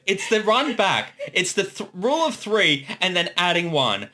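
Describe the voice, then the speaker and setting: Guy chatting creator commentary, bright voice, really animated, normal pitch. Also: normal speed, a little hiss or hum. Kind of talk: casual commentary chat.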